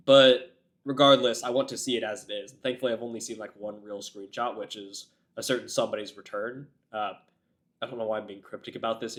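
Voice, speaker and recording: A man hosting an anime reaction video, chatting casually while getting over a cold, his voice raspy and a bit nasal, rambling as a self-described yapper. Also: fairly low pitch, fairly bright tone. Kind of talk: casual chat to camera.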